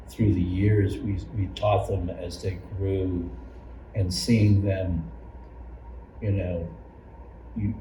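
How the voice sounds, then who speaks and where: An older man, a longtime Sunday school teacher, reminiscing in an interview in a halting, circling way with repeated phrases. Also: sits low, mellow. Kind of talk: personal reminiscence in an oral history interview. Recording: roomy, distant mic, noisy background.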